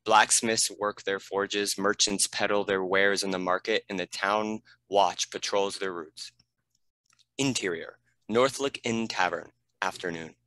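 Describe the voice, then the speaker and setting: A man's voice: low voice, unhurried, pushed and bright, dry recording, rough recording. A man reading scripted stage directions as a narrator.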